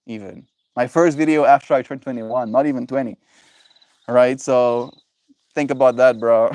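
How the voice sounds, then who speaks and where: A man's voice: medium pitch, a little mellow, dry recording. A young man chatting casually on his livestream, laid-back and encouraging, answering viewers and calling them bro.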